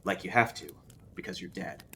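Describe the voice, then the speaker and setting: A man's voice: sits low, animated, fairly quick, bright, forceful tone, a little hiss or hum. A man voicing a haunted, defensive male war veteran, telling his memory with clipped intensity in a horror story. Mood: grim. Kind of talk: audiobook-style character voice in a horror story.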